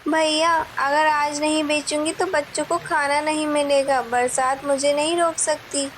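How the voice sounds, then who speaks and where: A determined cartoon vegetable seller mom. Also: female voice, high-pitched, little pitch movement, measured pace, slight background noise. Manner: pleading yet resolute. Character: hardworking poor single mother vegetable seller, determined.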